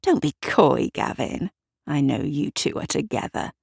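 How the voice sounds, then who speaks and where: A woman's voice: low voice, big pitch swings, measured pace, creaky, darkish tone, slightly rough, no echo, clean studio sound, voice pushed down, full character voice. A woman voicing a coolly menacing, controlling female neighbour in an audio drama, clipped and cold. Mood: coolly teasing. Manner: clipped, cold, barely moving the lips.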